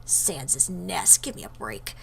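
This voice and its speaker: A woman's voice: low voice, expressive, takes it slowly, creaky, a little hiss or hum. A woman giving casual, chatty game commentary, playfully talking back to the game. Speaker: let's player. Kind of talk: casual game commentary mixed with reading dialogue aloud.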